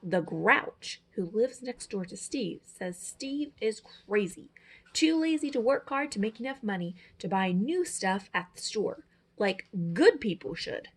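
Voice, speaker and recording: A woman reading a children's storybook aloud as a teacher, in an expressive, dramatic way with drawn-out words. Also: mid-range voice.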